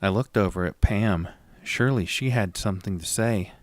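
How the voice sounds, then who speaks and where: A man playing an anxious, haunted narrator in a first-person horror audio drama, deliberate and suspenseful. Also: lowish voice, no room sound, studio quality, lightly acted. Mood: tense dread.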